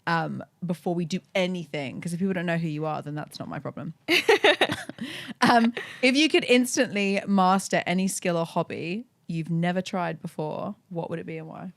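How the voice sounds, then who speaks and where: A British woman hosts a relaxed, chatty interview. Her voice sits a little low for a woman and is recorded dry and close, with an animated, informal delivery. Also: normal speed, vocal fry, no room sound. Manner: informal, sweary, animated and giggly. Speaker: podcast host.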